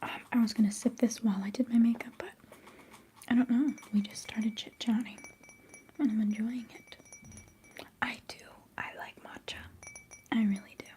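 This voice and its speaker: A young woman whispering softly and chattily during a live ASMR stream, giggling now and then. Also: on the high side, fairly even intonation, normal speed, long pauses, slight background noise. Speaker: livestream host.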